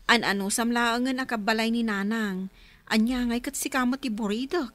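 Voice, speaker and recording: A woman's voice: fairly high pitch, moderate pace, fairly bright tone, natural voice. A woman playing a troubled young adult daughter who confides plaintively in a radio drama. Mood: worried. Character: troubled adult daughter, concerned about her mother. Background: music.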